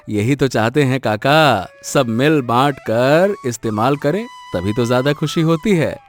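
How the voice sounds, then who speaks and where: A man's voice: medium pitch, animated, takes it slowly, soft background music. A man voicing a cheerful, generous grown son in a village cartoon story, respectful and good-humoured. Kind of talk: Hindi cartoon story dialogue.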